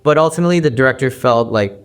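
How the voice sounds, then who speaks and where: A man's voice: medium pitch, lively intonation, quick pace, clean tone, faint music behind. A man guest on a podcast who talks in a loose, rambling way, with many fillers and restarts. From United States. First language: Mandarin. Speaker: actor guest. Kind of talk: casual interview talk.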